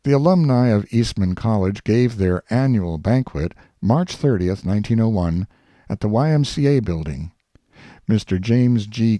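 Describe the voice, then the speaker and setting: A man with a gravelly voice reading a literary work aloud in an expressive, deliberate, measured way. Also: fairly low pitch, dry recording, studio quality. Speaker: audiobook reader.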